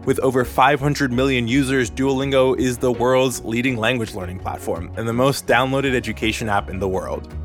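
A man hosting a podcast, reading a scripted story in a measured, clear way. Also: medium pitch, steady tone, faint music behind. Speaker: podcast host. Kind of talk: scripted narration.

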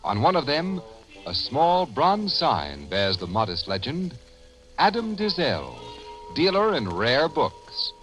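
A man narrating a 1950 radio drama as announcer, with theatrical, deliberate phrasing. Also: on the high side, wildly swooping pitch, fairly bright tone, rough recording. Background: music.

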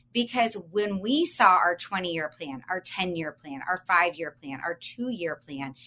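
A woman's voice: fairly low pitch, pushed and bright. A woman in her forties co-hosting a podcast, coaching listeners in an upbeat, emphatic way and asking them questions. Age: 40s. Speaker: podcast co-host.

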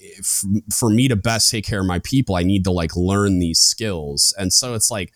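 A man hosting a podcast, talking frankly in a loud booming voice, rambling with lots of "like" and casual swearing. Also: moderate pace, dry recording, clean studio sound. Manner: rambling, heavy use of "like", frank and profane. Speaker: podcast host. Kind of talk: casual chat.

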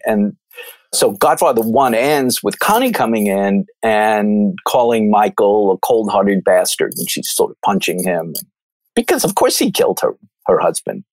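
American male film commentator, lowish pitch, discursive and thoughtful, dry recording. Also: a little mellow, dry recording. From New York, United States. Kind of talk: conversational film analysis.

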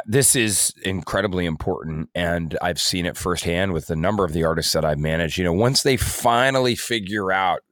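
Deep-voiced man giving podcast advice. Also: no room sound, clean studio sound. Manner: earnest, explanatory, peppered with "you know". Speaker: podcast co-host and music artist manager. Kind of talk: casual advisory podcast discussion.

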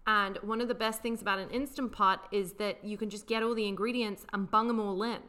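A woman's voice: mid-range voice, steady tone, brisk, sharp tone. A woman co-hosting a casual chat, explaining slang with frequent laughter. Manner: laughs often, explains patiently. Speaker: podcast co-host.

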